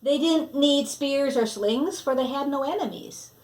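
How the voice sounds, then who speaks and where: A woman reading a children's story aloud expressively, with deliberate phrasing that sometimes drops to a hush. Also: high-pitched. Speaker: storybook reader.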